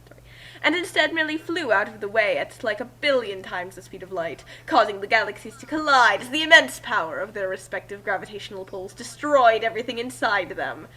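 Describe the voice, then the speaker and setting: A woman's voice: medium pitch, lively intonation, brisk, bright, forceful tone, poor audio. A woman reading a fan fiction story aloud as narrator, in an amateur group session with joking asides.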